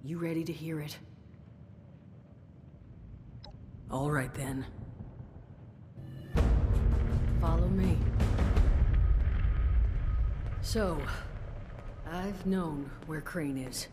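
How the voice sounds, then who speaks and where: A low, measured, weary woman boss. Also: over music. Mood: measured, serious. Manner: measured, hesitant pauses, conversational. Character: weary, firm, compassionate woman, boss of a hideout. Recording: reverberant room, background noise.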